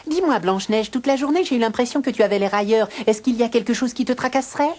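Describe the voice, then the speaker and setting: A woman voicing a young, excitable male dwarf who brags eagerly in a dubbed cartoon. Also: a little high, fast talker, close to an everyday voice. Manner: bragging, eager storytelling. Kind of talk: French cartoon dubbing. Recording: studio dub over loud music.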